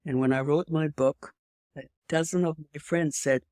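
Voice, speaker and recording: A woman's voice: deep voice, steady tone, normal speed, darkish tone, dry recording, studio quality. A woman in her eighties with a slight French accent, telling her life story as an interview guest in a rambling way, with restarts and emphatic asides. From Europe. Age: 80s. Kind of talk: personal storytelling in conversation.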